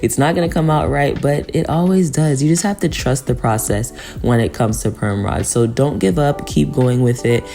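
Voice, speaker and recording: Very low-pitched mellow woman, chatty tutorial. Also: normal speed, vocal fry, few pauses, faint music behind. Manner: chatty, encouraging, addresses viewers directly. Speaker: hair tutorial vlogger. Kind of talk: casual tutorial voice-over.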